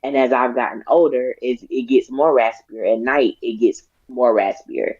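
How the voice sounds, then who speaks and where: Raspy woman co-host, dull lo-fi sound. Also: very deep voice, fairly even intonation, moderate pace, darkish tone, dry recording. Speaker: podcast co-host. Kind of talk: casual discussion.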